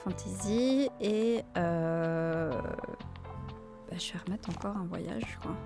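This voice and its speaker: A woman's voice: fairly low pitch, takes it slowly. A woman streamer giving casual gameplay commentary, chatty and thinking aloud as she plays. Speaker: gaming streamer. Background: faint game music.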